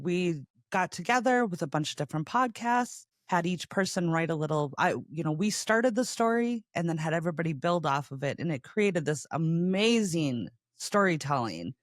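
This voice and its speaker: A woman's voice: on the low side, dry recording, clean studio sound. A woman hosting a podcast in casual conversation, effusive and welcoming with her guests. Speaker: podcast host. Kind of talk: casual chat.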